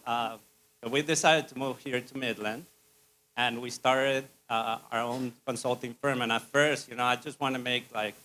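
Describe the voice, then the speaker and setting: A man's voice: mid-range voice, fairly bright tone. A man with a Spanish accent speaks to an audience. He is a native Spanish speaker and business owner, presenting informally in a middle-range voice. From Spain.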